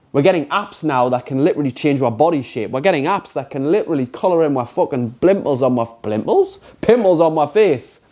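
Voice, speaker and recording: A man's voice: fairly high pitch, darkish tone. A man in his thirties giving an unscripted, motivational talk to the camera with a congested, stuffy nose, speaking frankly and emphatically and swearing often. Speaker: motivational vlogger. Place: indoor studio with brick wall.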